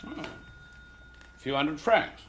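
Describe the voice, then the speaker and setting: An actor playing an observant, deferential police detective, attentive and matter-of-fact, in a radio drama. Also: male voice, on the high side, lively intonation, drawn-out words, fairly bright tone, a little hiss or hum, heavily acted. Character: deferential male police detective, observant sidekick to his chief.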